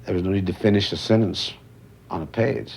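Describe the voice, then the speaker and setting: Older male interviewee, deep and gravelly, reflective, measured phrasing, faint noise behind. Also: a little mellow. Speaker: photojournalist interviewed.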